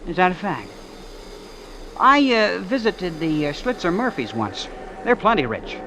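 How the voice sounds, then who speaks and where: A man's voice: high voice, big pitch swings, unhurried, noisy background, heavily acted. An actor playing an eager, naive prep-school young man from a small town, folksy and chatty, in a 1940s radio drama. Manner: folksy, boyish, hesitant storytelling. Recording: vintage radio recording with music behind.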